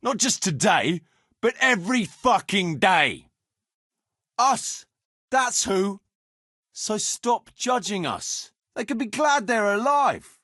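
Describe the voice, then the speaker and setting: A rough medieval fighter from a video game, leader of a hard-drinking band. His voice sits fairly high for a man and swings widely in pitch. He talks bluntly and coarsely, and the sound is dry and close. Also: big pitch swings, slow, pushed and bright, no echo, full character voice. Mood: indignant, defensive. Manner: blunt, earthy, swearing. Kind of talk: video game dialogue acting.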